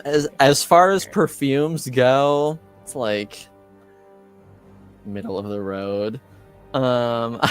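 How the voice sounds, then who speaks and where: A man running a tabletop roleplaying game as game master, chatting casually and explaining things haltingly. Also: medium pitch. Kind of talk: casual tabletop actual-play chat.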